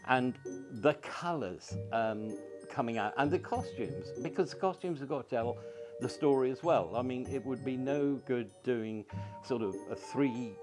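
A man in his sixties chats informally as an interviewee. His pitch is average, and music plays clearly behind him. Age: 60s. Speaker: costume designer. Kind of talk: casual interview chat. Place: indoor costume room. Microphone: lapel mic.

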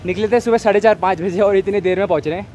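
A man's voice: high-pitched, racing, a little mellow, faint music behind. A young man, vlogging as he cycles outdoors on mountain roads, chatting to viewers and giving tips, mixing in English words. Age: young adult. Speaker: cycling vlogger. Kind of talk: casual vlog narration. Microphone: phone or action camera at arm's length.

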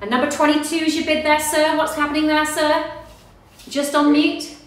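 A woman in her thirties with an Australian accent, working as an auctioneer and calling bids live with brisk, upbeat sales patter. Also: high voice, level tone, distant mic. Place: small office studio in front of a backdrop. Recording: echoey room, voice heard from off the mic.

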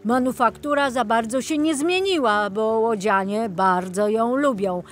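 An enthusiastic male tour guide from a children's storybook, as a woman narrator puts him on. He explains the sights slowly and with friendly exclamations. Music plays faintly behind. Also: a little high, natural voice. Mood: enthusiastic, explaining. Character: enthusiastic, friendly man guiding a city boat tour. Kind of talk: children's audiobook character.

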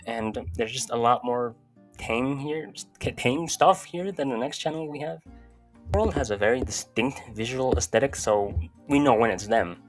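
A young man giving casual, sweary video commentary as a YouTube host. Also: mid-range voice. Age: young adult. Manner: irreverent, sweary. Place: small bedroom, indoors. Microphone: close desk microphone. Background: faint music.

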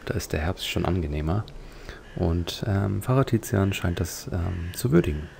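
A man giving casual, musing, unhurried commentary as he plays a video game. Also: sits low, expressive, gravelly, darkish tone, slight background noise. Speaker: let's player.

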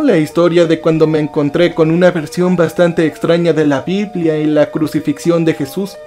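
A man reading a first-person horror confession as scripted narration, in a measured, confessional manner. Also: a little high, mellow. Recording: faint music behind the voice.

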